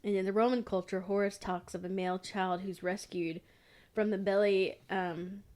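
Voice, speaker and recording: A woman's voice: medium pitch, fairly even intonation, normal speed, darkish tone. A woman co-hosting a podcast, telling folk tales in a casual, irreverent, sweary way. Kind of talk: casual chat and informal storytelling.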